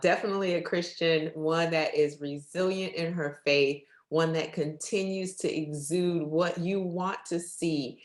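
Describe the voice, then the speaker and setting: A woman's voice: low-pitched, fairly even intonation, unhurried, few pauses. A woman hosting an upbeat faith talk show, speaking effusively and addressing her audience directly. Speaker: interview show host. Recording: roomy, echoey sound.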